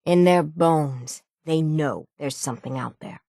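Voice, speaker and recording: An actress playing a weathered, stubborn female sailor in a video game, with a gruff, plainspoken old-salt way of talking. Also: low voice, measured pace, warm tone, no echo, clean studio sound. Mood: grim resolve. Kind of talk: video game character voice acting.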